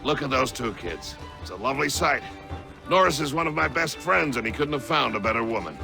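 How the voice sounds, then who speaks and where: A man's voice: medium pitch, lively intonation, brisk, creaky, music in the background. A man playing a genial, plain-spoken villager in a video game cutscene, folksy and affectionate. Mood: fond.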